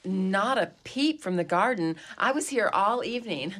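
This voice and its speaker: A woman's voice: mid-range voice, animated, normal speed, a bit bright. A woman playing a dignified, imperious wealthy mistress of an old mansion in a comedy radio play, with a grand, formal, theatrical way of talking. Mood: doting, grand.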